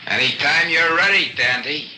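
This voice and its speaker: An actor playing a taunting gangster leader in a radio thriller, goading and menacing. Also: male voice, a little high, normal speed, sharp tone. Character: taunting gangster leader stalking a trapped man. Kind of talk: 1940s-50s radio thriller acting. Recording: noisy old radio recording.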